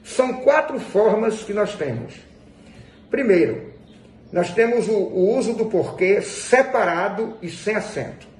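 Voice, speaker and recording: High-pitched, slow-paced Brazilian man teaching. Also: a little mellow. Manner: didactic, emphatic, repeats phrases for stress. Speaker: Portuguese grammar teacher. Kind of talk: instructional lesson explained to viewers. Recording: echoey room with faint background noise.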